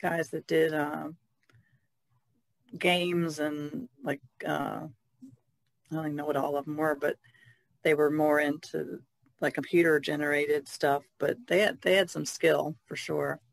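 A woman talks casually as part of a podcast panel. Her voice has a clear gravelly creak, and it is recorded dry, without room echo. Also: low voice, level tone, a little slow, pauses often. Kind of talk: casual chat.

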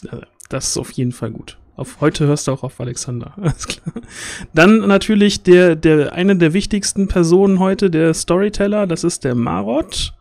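Male stream host, thirties, slightly high pitch, chatty and halting introductions, dry headset sound. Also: creaky, a little mellow, no room sound. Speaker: host of a tabletop role-playing stream. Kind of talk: casual livestream introduction. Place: small room at home, on a video call. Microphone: headset, close.

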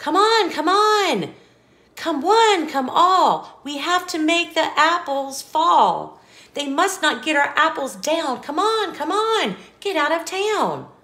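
A bossy, fed-up bear from a children's picture book, voiced by a woman reader. The voice is raised high and swings widely as it gives loud, urgent orders. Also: big pitch swings, slow pace, full character voice. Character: cross, bossy bear chasing animals with a mop.

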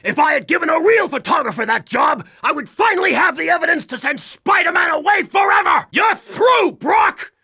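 An actor playing a blustering, hot-tempered older boss in a video game, theatrical and bellowing. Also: male voice, very high voice, animated, normal speed, long pauses, sharp tone, raised voice, exaggerated voice. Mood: furious.

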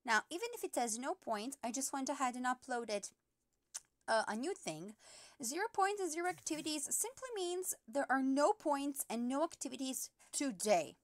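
A woman's voice: sits high, lively intonation, moderate pace, no echo, clean studio sound. A woman teacher patiently walking parents through an app step by step, with frequent fillers. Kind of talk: app tutorial screen recording.

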